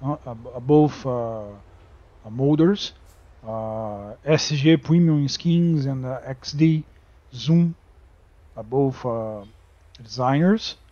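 A Brazilian man talks casually over a driving game in a slow, unhurried way, with a Brazilian Portuguese accent. He halts often, restarting phrases and filling gaps with "uh". His voice comes through a slightly dull, muffled home microphone at a middling pitch. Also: warm tone, no echo. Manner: halting, many fillers and restarts. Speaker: gaming YouTuber.

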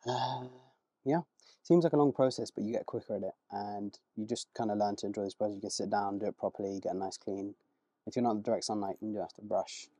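A man in a casual vlog, talking through his car wheel cleaning outdoors in a relaxed, rambling way, with small self-corrections, his voice moving closer and farther from the camera. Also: lowish voice, fast talker, no room sound. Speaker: car rental host. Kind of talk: casual vlog narration while working.

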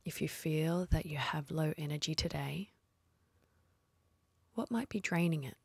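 A woman leading a guided meditation in a soft, husky, breathy voice, speaking slowly and soothingly with long pauses. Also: deep voice, no room sound.

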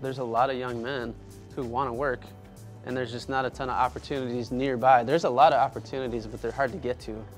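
A man's voice: medium pitch, level tone, brisk, faint music behind. A man in his thirties talking earnestly and upbeat about his furniture business in a casual interview, recorded in a workshop on a lapel mic. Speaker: small business owner.

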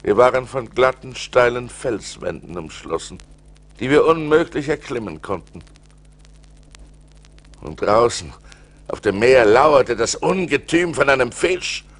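An actor playing a male seafarer who tells his adventures in a slow, theatrical radio-play style, with dramatic swings, on an old vinyl record. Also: mid-range voice, very animated. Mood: intense. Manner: dramatic, slow, swinging between hushed and exclaiming.

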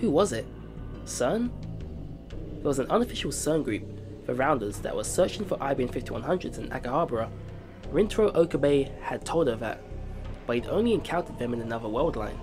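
A man's voice: medium pitch, very animated, a little fast. A man reading a game's story text aloud for a playthrough, with small stumbles and restarts. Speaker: let's play narrator. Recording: game music playing behind.

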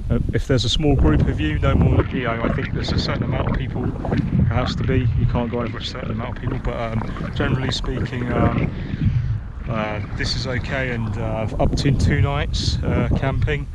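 A man's voice: mid-range voice, fairly even intonation, fairly quick. A man vlogging from a windy grassy headland by the sea, chatting casually with many ums and restarts. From Britain. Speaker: hiker filming his own trail vlog. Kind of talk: casual rambling chat to camera. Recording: loud wind noise on the mic outdoors.

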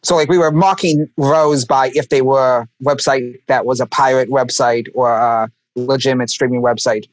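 A man's voice: medium pitch, fairly even intonation, normal speed, no room sound. A man chatting casually about technical research work, informal and often saying "like". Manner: informal, peppers talk with "like". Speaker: researcher and programmer on a podcast.

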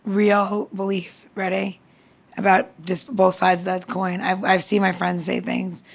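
A woman podcast host chatting casually, halting and restarting, repeating words for emphasis. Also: mid-range voice, flat delivery, gravelly. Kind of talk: casual chat.

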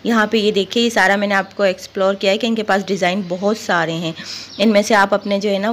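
A woman vlogging a walk through an outdoor weekly market, chatting about goods and prices and asking vendors for rates. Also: mid-range voice. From Pakistan. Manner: chatty shopping recommendations, haggling with vendors. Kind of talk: walking market tour vlog. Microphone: handheld phone camera. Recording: phone audio with crowd noise.

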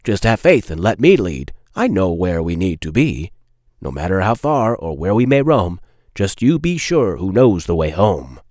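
A man reads the part of a sensible dog who leads a storybook pack. The voice is low and steady, with a protective, reassuring authority. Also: normal speed, no room sound, studio quality. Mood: firm, reassuring.

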